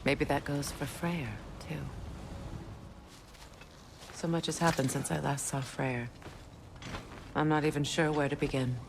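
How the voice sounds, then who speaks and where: An actress playing a proud, wounded exiled goddess in a video game, speaking in a measured, earnest, weighty way. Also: female voice, deep voice, steady tone, creaky, background noise. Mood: reflective, heavy. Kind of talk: dramatic video game acting.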